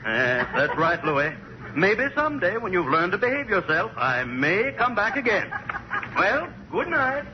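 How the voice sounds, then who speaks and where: High, animated, scolding Santa Claus. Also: male voice, unhurried. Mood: condescending. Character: stern, scolding Santa Claus, theatrical and grandfatherly. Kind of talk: 1940s radio comedy acting. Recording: noisy, muffled 1940s radio recording.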